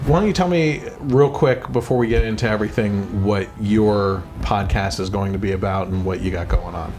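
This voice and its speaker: Low-voiced man hosting an earnest home podcast. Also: normal speed, a little mellow, a little hiss or hum. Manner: earnest, rambling with restarts. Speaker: podcast host. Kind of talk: casual conversational podcast. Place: home, after dinner.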